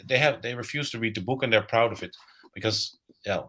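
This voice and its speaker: A Dutch-accented middle-aged man talks on a video call, slow to find his words and often repeating them as he thinks. His voice sits a little low but has a bright, forward tone. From Netherlands. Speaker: guest author. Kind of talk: casual interview talk. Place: home, on a live video call.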